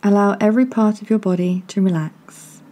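A woman softly and gently guiding a meditation in a calming, breathy voice with long pauses. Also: mid-range voice. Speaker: meditation guide. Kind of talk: guided meditation, soothing scripted narration.

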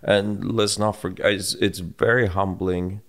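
A middle-aged man with a Swedish accent, co-hosting a podcast in casual conversation, reflective and halting with restarts, recorded on a studio mic in a home room. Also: low-pitched, steady tone, fairly bright tone. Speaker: podcast co-host. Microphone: boom-mounted studio mic.

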